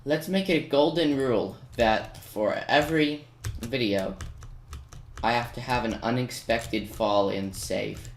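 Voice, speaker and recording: A man talks casually through a video game at a medium pitch, poking fun at himself and then playfully bragging. The recording is roomy and noisy, with keyboard clicks behind his voice. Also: unhurried. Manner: self-mocking, playfully boastful. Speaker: gaming video maker. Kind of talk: casual gameplay commentary.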